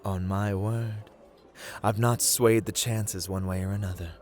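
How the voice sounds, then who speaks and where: A man playing a playful, taunting god of luck who deals cards, in a theatrical ASMR roleplay with deliberate speech and hushed murmurs. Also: on the low side, fairly even intonation, soft background music, lightly acted. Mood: smug, playful. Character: playful, taunting male god of luck, smooth-talking card dealer.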